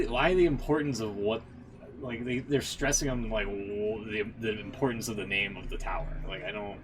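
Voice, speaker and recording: Wry male streamer over clear music. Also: on the low side. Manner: wry, informal, interjecting. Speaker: game streamer. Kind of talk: casual reaction commentary. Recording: music behind the voice. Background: clearly audible music.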